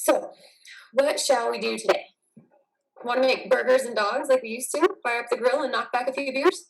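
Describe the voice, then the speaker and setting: A woman playing a gentle, affectionate, supportive wife in an amateur play reading. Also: on the high side, fairly even intonation, moderate pace, gravelly, distant mic, rough recording. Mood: tender, coaxing. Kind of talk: amateur reader's theater over video.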